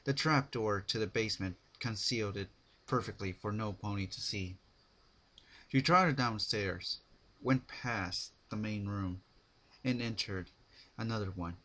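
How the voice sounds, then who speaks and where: Male narrator, low pitch, very slow, bright forceful tone, dramatic reading, halting phrases. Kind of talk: dramatic scripted story narration.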